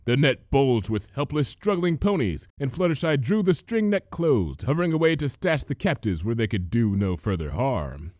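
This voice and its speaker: A man reading a comic adventure story aloud as an expressive scripted narration. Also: mid-range voice, normal speed, hardly any pauses, dry recording, studio quality. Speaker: fan fiction reader, narrator.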